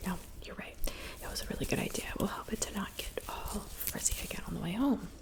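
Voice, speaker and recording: A woman speaking in a soft, breathy whisper, gently reassuring the listener in an ASMR roleplay. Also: mid-range voice, animated, fast. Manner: gentle, reassuring, attentive personal-attention talk. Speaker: ASMR performer. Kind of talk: whispered ASMR roleplay as a witch doing hair, makeup and potions.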